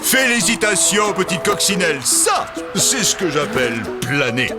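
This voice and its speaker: A proud cartoon eagle who teases and grudgingly concedes. The pitch swoops widely over theatrical pauses, with clear music playing behind. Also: male voice, big pitch swings. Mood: admiring, boastful. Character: proud teasing male eagle, grudgingly admiring. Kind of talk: children's cartoon voice acting.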